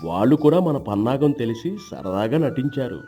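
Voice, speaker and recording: A man playing an emphatic, admonishing village husband in an acted moral story, with a husky voice. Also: medium pitch, sing-song, normal speed, mellow, soft background music. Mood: amused, explaining. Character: indignant village husband, teasing, morally lecturing.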